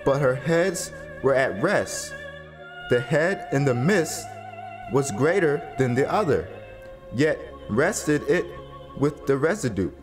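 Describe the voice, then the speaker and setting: A man with a mid-pitched voice narrates scripture as drama. His delivery is very slow and solemn, measured like grave storytelling, with music faintly playing behind him. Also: very animated.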